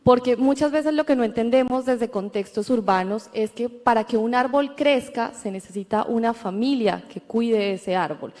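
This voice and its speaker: Steady Colombian woman panelist. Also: fairly high pitch, normal speed, hardly any pauses. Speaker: panelist, entrepreneur. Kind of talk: panel talk. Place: conference hall. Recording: long hall reverb.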